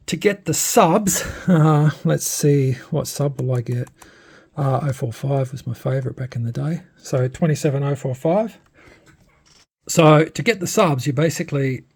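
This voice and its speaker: A man with a rough, husky voice talks through a piece of equipment as he demonstrates it. His delivery is informal and understated, feeling its way from one point to the next without any script. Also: mid-range voice, darkish tone. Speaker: presenter demonstrating a modified radio. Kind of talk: casual unscripted technical demonstration.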